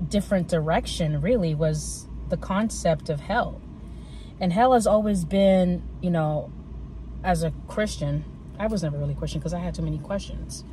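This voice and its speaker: A woman's voice: lowish voice, mellow, rough recording. Woman musing to camera in parked car. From United States. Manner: reflective, many fillers and restarts. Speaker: vlogger. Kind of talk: casual personal talk to camera. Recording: car interior with clear road noise.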